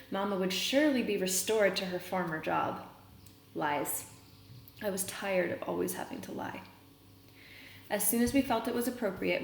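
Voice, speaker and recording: A woman reading a children's novel aloud, pausing to explain words as a teacher would. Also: mid-range voice, gravelly. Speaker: read-aloud reader and teacher. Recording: roomy echo, noticeable background noise.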